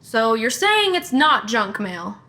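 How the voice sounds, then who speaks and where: Young female actor as a sharp, take-charge supernatural investigator; high, bright voice, deadpan teasing, comedy audio drama. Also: slow pace, full character voice. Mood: intrigued, decisive. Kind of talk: audio drama acting, naturalistic comedic banter.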